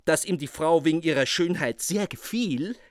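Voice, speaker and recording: A man's voice: fairly high pitch, expressive, normal speed, no room sound, clean studio sound. A man narrating a medieval epic in a solemn, scripted storytelling style.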